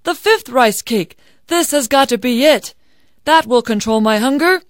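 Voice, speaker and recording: Brash, exclamatory young village man for a storybook, played by a woman, lively and indignant, close dry recording. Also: high voice, measured pace, no room sound, voice pushed up. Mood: eager, greedy. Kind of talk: animated storytelling.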